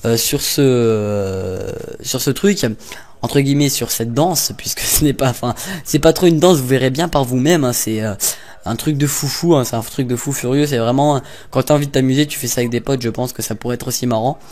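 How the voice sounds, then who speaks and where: A man's voice: mid-range voice, brisk, a little mellow. A young man casually commenting over gameplay, rambling and hesitant with lots of fillers and little jokes. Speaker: gaming YouTuber.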